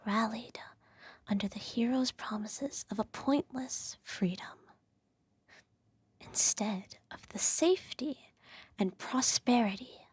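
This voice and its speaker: A woman playing a regal, self-righteous evil empress who soothes her child with a whispered ASMR bedtime story in a measured, gently condescending way. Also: a little high, no echo, exaggerated voice. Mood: solemn storytelling. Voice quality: soft, breathy whisper. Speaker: ASMR roleplay performer.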